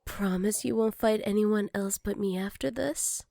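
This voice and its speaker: An intimate, very dry close-mic recording of a possessive female villain acted by a woman. She teases and flirts in a breathy, mid-pitched voice that often sinks toward a whisper, with jealousy under every line in ASMR roleplay style. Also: normal speed, dark tone, no room sound, close to an everyday voice. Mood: jealous, possessive.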